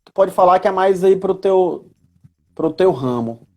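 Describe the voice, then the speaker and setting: Homem brasileiro explicando com pausas. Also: male voice, high voice, mellow. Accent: Brazilian. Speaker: live stream discussion participant. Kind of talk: casual explanatory live discussion. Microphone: live video call.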